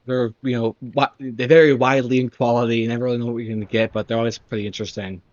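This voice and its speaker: A man's voice: mid-range voice, little pitch movement, a little mellow, no room sound. Young man rambling with fillers, dull mic. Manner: halting, filler-heavy, lots of "like" and "you know what I mean". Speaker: podcast co-host. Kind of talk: casual chat.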